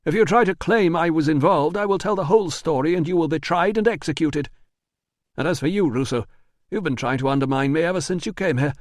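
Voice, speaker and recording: A man putting on a shrill, blustering boss. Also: dry recording, studio quality, natural voice. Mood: furious. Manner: indignant, rapid, shrill outbursts. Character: blustering panicky male employer, shrill. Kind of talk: audiobook dialogue.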